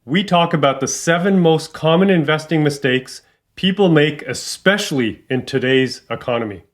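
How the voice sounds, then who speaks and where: A man's voice: a little high, normal speed. A man hosting a podcast interview, upbeat and welcoming, with frequent fillers. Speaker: podcast host.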